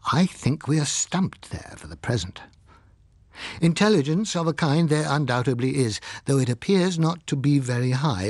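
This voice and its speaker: A man's voice: mid-range voice, breathy edge, no echo. Man playing a learned, drily ironic scientist, swooping intonation. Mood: pondering, analytical. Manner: deliberate, lecturing, crushing. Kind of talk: dramatised audiobook reading.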